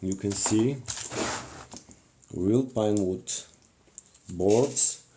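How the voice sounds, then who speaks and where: Slow, halting Bulgarian-accented man. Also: on the low side, background noise. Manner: halting, many fillers and restarts, non-native grammar. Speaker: craft livestream host. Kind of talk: casual live crafting demonstration.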